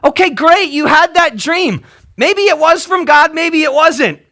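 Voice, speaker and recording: A man's voice: extremely high pitch, unhurried, bright, forceful tone. A man preaching a casual, conversational sermon, telling the story emphatically in colloquial language and often checking in with the listeners. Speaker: pastor preaching.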